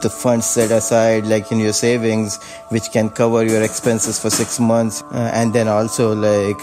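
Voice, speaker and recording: A man's voice: fairly low pitch, monotone, measured pace, music in the background. A man of forty giving casual answers as an interviewed member of the public. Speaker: poll respondent.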